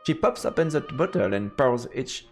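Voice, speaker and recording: A man's voice: medium pitch, big pitch swings, normal speed. A man live-streaming a game, reading its text aloud and commenting informally, stumbling a little over the words. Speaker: let's play streamer. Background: game music.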